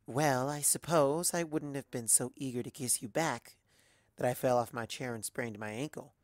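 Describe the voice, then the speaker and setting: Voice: mid-range voice, normal speed, dry recording, studio quality, natural voice. An adult narrator voicing a sensitive young female unicorn professor and devoted wife in a fan fiction reading. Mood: fond.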